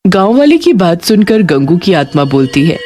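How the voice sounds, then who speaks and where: A woman's voice: on the low side, very animated, moderate pace, warm tone. A woman narrating a scripted cartoon horror story as its storyteller. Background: prominent background music.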